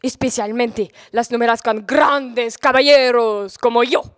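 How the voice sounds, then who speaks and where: A woman's voice: fairly high pitch, unhurried, dry recording, studio quality, natural voice. A woman acting the part of a vain, lovesick middle-aged Spanish knight, pompous and boastful, in a student radio comedy. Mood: dreamy.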